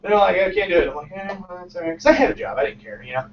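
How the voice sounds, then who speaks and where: A man's voice: sits high, lively intonation, rapid-fire, slight background noise. A man in his late twenties giving a casual talk about his startup to a small crowd, acting out the dialogue in his stories and laughing often. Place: small crowded meeting room, indoors. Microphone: far camera, no visible mic. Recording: distant, roomy, dull lo-fi sound.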